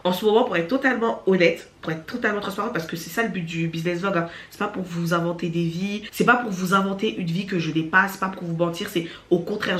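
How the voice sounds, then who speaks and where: A young woman vlogging at home, chatty and animated, with a stuffy nose from a cold. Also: lowish voice. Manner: chatty and animated, laughing often. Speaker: vlogger and beauty entrepreneur. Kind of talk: casual vlog talking to camera. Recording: echoey room, voice from far off.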